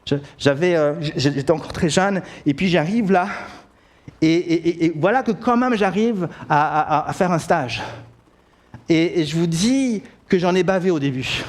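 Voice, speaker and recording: A man's voice: on the high side, moderate pace. A middle-aged man with a Belgian French accent, preaching a sermon in a church, building to emphatic declarations and often restarting his sentences. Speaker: pastor. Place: church sanctuary.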